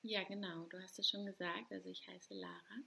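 A woman in her thirties from Hamburg, talking casually and hesitantly as a guest, with many pauses and light laughs. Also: on the low side, little pitch movement, creaky, bright, forceful tone, husky. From Hamburg, Germany. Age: 30s. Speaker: guest, doula. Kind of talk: casual interview talk.

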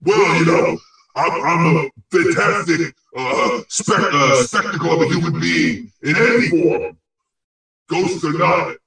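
A man acts out an irascible, conceited ghost for a comic audio play. The voice is gravelly and fairly high for a male, blustering and sputtering with insults. It comes drenched in echo, set back from the microphone. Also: animated, a little slow, fairly bright tone, heavily acted. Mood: boastful. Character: angry, boastful, insulting male ghost with a cough. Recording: very echoey, distant microphone.

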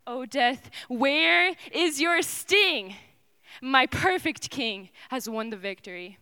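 A young woman performing dramatic spoken word poetry in a slow, solemn way that often drops to a hush. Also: high voice, expressive, clean tone.

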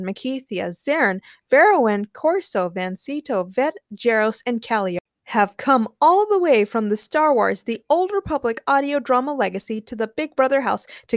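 A woman's voice: on the high side, dry recording, clean studio sound. A young woman hosting a solo podcast in an informal, giggly, gushing way, chatting and narrating fan fiction. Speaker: podcast host.

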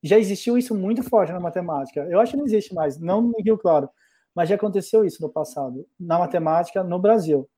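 A man's voice: sits high, expressive, fast, warm tone. A middle-aged man from Brazil, a mathematics professor, talking reflectively in a casual conversation with many restarts.

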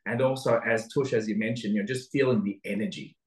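Casting: Australian male interview guest, lowish voice, thoughtful and halting, recorded in a roomy echo. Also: fairly even intonation. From Sydney, Australia. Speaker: interview guest, venture capital investor. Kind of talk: conversational interview answer. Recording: roomy, echoing sound.

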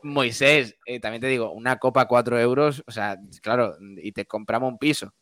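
Guy doing jokey live radio chat, reads out messages, clean smooth voice, dry close sound. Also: mid-range voice, moderate pace, fairly bright tone, no echo. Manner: jokey, colloquial banter. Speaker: radio show co-host reading listener messages. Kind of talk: casual live chat, reading out comments.

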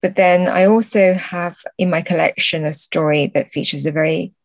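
Woman interviewee, lowish register, dry recording, reflective and halting, conversational delivery with self-corrections. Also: steady tone, no room sound. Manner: hesitant, searching for words, self-correcting. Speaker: podcast guest, translator and book editor. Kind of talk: thoughtful conversational interview answers.

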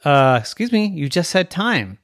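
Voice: medium pitch, very animated, measured pace, voice pushed up. A storyteller voicing a matter-of-fact, officious basketball referee with a firm, declarative manner.

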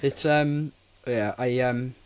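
A man's voice: mid-range voice, fairly even intonation, slow pace, smooth. A man in his forties giving blunt, casual opinions on a film as a podcast co-host. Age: 40s. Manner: blunt, mildly sweary.

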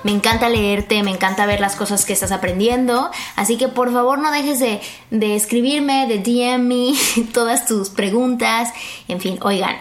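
A woman's voice: on the high side, steady tone, moderate pace. A woman hosting a podcast, chatty and playful, greeting her listeners as friends and recording at her guest's home. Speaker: podcast host. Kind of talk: casual podcast chat.

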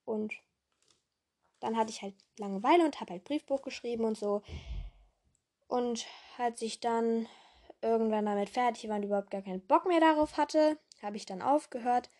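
A young woman with a hoarse voice hosting a casual podcast in a rambling way, full of fillers and self-corrections, jumping between voices. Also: a little high, moderate pace, warm tone. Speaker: podcast host.

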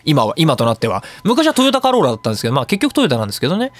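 A man hosting a radio show in a casual solo monologue, ranting in an animated way with theatrical emphasis. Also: fairly high pitch, racing.

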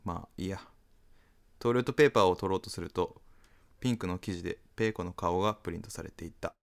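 A man's voice: low-pitched, fast talker, creaky, voice pushed down. A man playing a polite, mildly puzzled fellow who narrates his own encounter in an amateur comedic radio drama. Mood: wry narrating.

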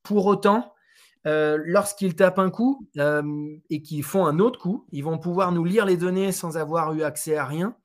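A man from France with a fairly high voice answers interview questions in relaxed conversation. He hesitates a lot, filling gaps and restarting his sentences as he explains. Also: moderate pace. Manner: hesitant, many fillers and restarts. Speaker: Trackman representative on golf tours.

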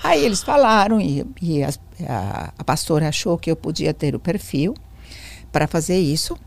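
Older woman with a foreign accent, lively storyteller. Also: sits low, normal speed, vocal fry. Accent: foreign accent in Portuguese. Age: 60s or older. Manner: animated storyteller, acts out quoted dialogue, repeats phrases. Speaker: interview guest, educator. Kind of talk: storytelling in casual interview.

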